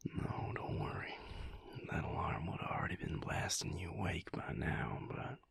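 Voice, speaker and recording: A man playing an affectionate, teasing vampire lover in an intimate audio roleplay, speaking softly and unhurriedly in a whispery, gravelly voice. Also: low voice, lively intonation, full character voice. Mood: tender, sleepy. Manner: unhurried, soft, drily teasing.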